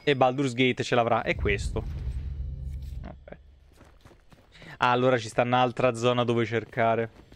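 A man's voice: medium pitch, level tone, a little slow, pauses often, soft background music. A man in his thirties with a Roman Italian accent, giving casual, slangy live game commentary and chatting with viewers in rambling asides. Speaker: game streamer.

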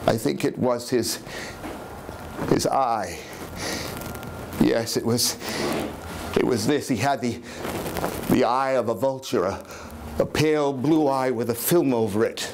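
A man playing a nervous, obsessive madman in a theatrical Gothic reading, agitated and stammering as he insists he is sane. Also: medium pitch. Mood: brooding, haunted. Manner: agitated, stammering, repeating words. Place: small dim room in a historic house with seated audience. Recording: noisy live room recording.

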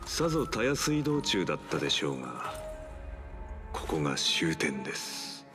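A wolf-man butler in a video game cutscene. He is impeccably formal and stern, giving orders in measured, courteous phrases. Music plays behind the voice. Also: rumbling low, very animated. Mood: cold, courteous. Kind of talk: Japanese game voice acting.

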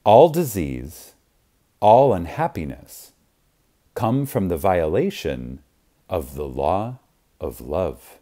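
A man reads an audiobook in a low, mellow voice with widely varied intonation. His delivery is reverent and emphatic. He leaves deliberate pauses for effect. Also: sing-song. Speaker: audiobook narrator. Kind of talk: scripted audiobook narration.

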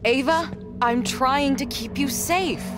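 A woman's voice: sits high, slow pace. A woman playing a protective, earnest warrior mentor in a video game, speaking firmly. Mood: pleading, protective. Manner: firm, earnest. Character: protective, firm adult female warrior mentor. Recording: long hall reverb, music behind.

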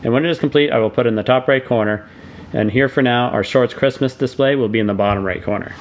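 Man giving steady step-by-step instructions. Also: mid-range voice, fast, a little hiss or hum. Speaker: DIY channel host. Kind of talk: scripted voice-over tutorial.

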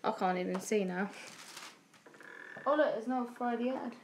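A woman's voice: on the high side, normal speed, darkish tone. Young woman, nervous whispery asides, phone mic, faint hiss. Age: young adult. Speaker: urban explorer vlogger. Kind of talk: casual live-stream chat. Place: abandoned house indoors. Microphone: phone held at arm's length.

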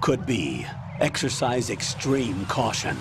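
Stern colonel, noisy audio. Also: male voice, medium pitch, big pitch swings, takes it slowly. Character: stern, authoritative older male military commander. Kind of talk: video game voice acting over radio call. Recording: clearly noisy game audio.

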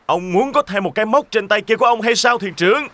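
A man's voice: sits high, fast talker, a bit bright. A man voicing a brave, cocky boy adventurer with a bold, direct manner in a children's cartoon storybook. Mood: defiant.

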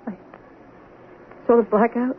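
An actress playing a troubled, halting, defensive woman suspected of murder in a 1970s radio drama. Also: fairly high pitch, fairly even intonation, close to an everyday voice. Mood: halting, evasive. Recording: noisy, tinny 1970s radio broadcast.

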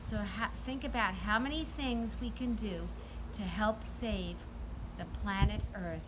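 A middle-aged woman doing an expressive, deliberate read-aloud storytime for young children. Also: mid-range voice. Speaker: teacher reading to children. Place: outdoors in a sunny backyard. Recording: outdoor ambient noise.

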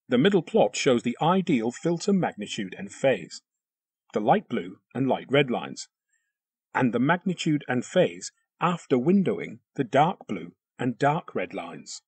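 A man delivers scripted software tutorial narration with a lively, varied pitch. His voice is a little husky, and he explains each step precisely. The sound is close and very dry. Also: dry recording.

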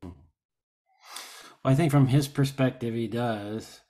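An older man, an actor, reflecting earnestly and deliberately in a discussion on a video call. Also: medium pitch, mellow.

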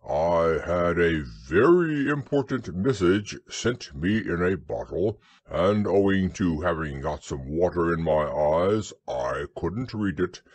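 A man voicing a proud, simple-minded, earnest storybook bear with a growly voice and slow, deliberate speech. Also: sits low, darkish tone, husky, no room sound, heavily acted.

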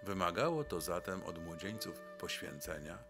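Deep male documentary narrator over music. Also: expressive, moderate pace. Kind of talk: scripted narration.

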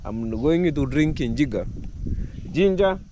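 A middle-aged Kenyan man talks hoarsely into a camera held at arm's length outside in a rural village. His voice is mellow and dark in tone, and he repeats words as he goes. Faint outdoor sounds sit behind him. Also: expressive, measured pace, a little hiss or hum. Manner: chatty, repeats words, bursts up in pitch when stressing points. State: hoarse from a cold. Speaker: vlogger. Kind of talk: casual vlog to camera.

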